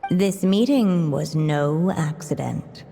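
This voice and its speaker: A woman's voice: low-pitched, expressive, slow, mellow, in a large hall, soft background music, heavily acted. A woman acting as a solemn, enigmatic storyteller, narrating a fairy tale in a game. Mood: solemn, measured.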